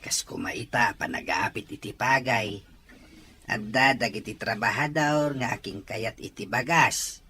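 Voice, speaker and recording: A man's voice: medium pitch, measured pace, pushed and bright. A man with an Ilocano accent playing a patient, fair-minded farmer father in an audio drama. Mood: earnest, gentle. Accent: Ilocano, from the Philippines.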